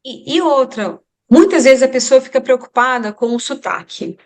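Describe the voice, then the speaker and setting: A woman's voice: sits high, normal speed. A Brazilian woman with a Brazilian Portuguese accent, an English teacher giving advice in an interview, emphatic and repeating her points for emphasis.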